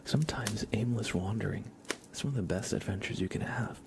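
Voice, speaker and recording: A man hosting an ASMR stream in a soft, breathy half-whisper, speaking gently and informally. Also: fairly low pitch, fairly quick, background noise. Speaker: ASMR livestream host.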